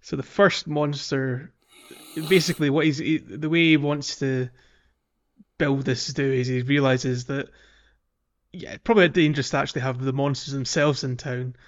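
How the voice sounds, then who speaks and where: A man's voice: mid-range voice, fairly even intonation, normal speed, highs cut off. A man co-hosting a gaming podcast, chatting casually about a video game. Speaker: podcast co-host.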